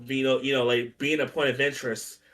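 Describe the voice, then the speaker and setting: A man's voice: mid-range voice, flat delivery, a little fast, fairly bright tone. A man in casual group banter, profane and mock-indignant, with music playing behind him.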